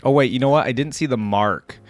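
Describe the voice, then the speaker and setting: A man hosts a gaming reaction video in an informal, slangy way. His voice sits at an average pitch, and he thinks aloud in a loose, conversational style. Music plays faintly behind him. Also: brisk. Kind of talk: casual reaction commentary.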